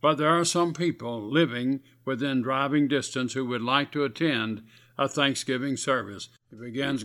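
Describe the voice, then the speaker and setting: A man's voice: medium pitch, a bit bright. Male radio preacher, scratchy voice, folksy and earnest. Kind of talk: radio sermon.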